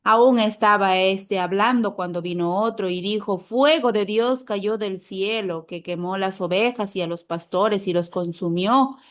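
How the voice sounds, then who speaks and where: A woman reading a Bible passage aloud in church in a steady, measured way. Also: medium pitch. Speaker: scripture reader.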